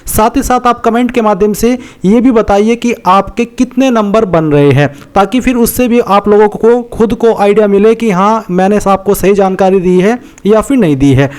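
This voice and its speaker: An Indian man with a high-pitched, smooth and clear voice presents an informal online explainer. He speaks straight to his viewers in a conversational way, circling back over his points and mixing in English words. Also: a little mellow. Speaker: YouTube host giving exam information.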